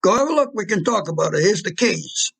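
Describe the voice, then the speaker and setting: A man acting in an audio play as an irritable, menacing mob fixer boyfriend, with blunt, slangy talk and a gravelly, husky voice. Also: sits high, animated, fairly quick, a bit bright, full character voice. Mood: matter-of-fact, menacing.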